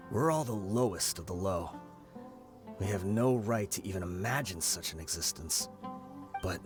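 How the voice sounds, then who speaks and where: A man's voice: fairly low pitch, lightly acted. A man playing a grave, guilt-ridden veteran mercenary leader, telling things solemnly in a video game. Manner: solemn storytelling.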